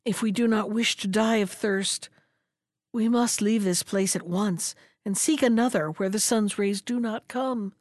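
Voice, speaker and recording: A woman voicing a gentle, anxious duck who talks in an earnest, sorrowful way in a storybook reading. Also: medium pitch, measured pace, no room sound, studio quality, close to an everyday voice.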